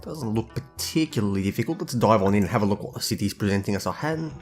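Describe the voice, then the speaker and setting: Husky man thinking aloud. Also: lowish voice, lively intonation, fast, faint music behind. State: husky, hungover. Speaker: gaming video host. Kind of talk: casual gameplay commentary.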